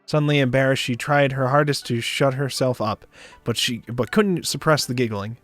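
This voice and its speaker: A man's voice: mid-range voice, normal speed, fairly bright tone, no echo, faint music behind. A man narrating a visual novel in the first person on a stream, with casual asides.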